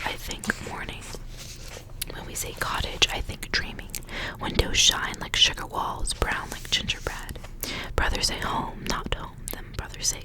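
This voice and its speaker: Whispering woman reading a story. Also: rough recording. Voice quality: breathy, unvoiced whisper. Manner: soft, hushed narration in short phrases. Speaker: ASMR reader.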